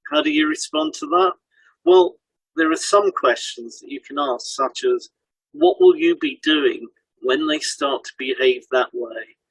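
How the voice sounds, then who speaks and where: Slightly husky man presenting a podcast. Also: mid-range voice, a bit bright, no room sound, rough recording. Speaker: podcast co-host, hypnotherapist. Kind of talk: scripted podcast narration, instructional.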